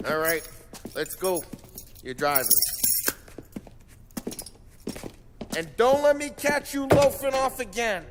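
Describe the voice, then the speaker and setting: A man's voice: very high voice, big pitch swings, moderate pace, over loud music. A man playing a brash, streetwise mobster with a New York Italian-American accent, teasing, in a video game cutscene. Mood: bossy. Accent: New York-style Italian-American.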